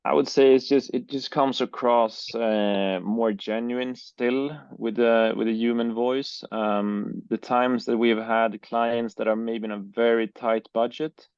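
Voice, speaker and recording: Gravelly man, hesitant. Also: mid-range voice, fairly even intonation, unhurried, hardly any pauses, a little mellow, dry recording. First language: Swedish. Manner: hesitant, many uh and um, repeats words. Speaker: guest, business owner. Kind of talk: interview answers. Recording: dry, dull lo-fi sound.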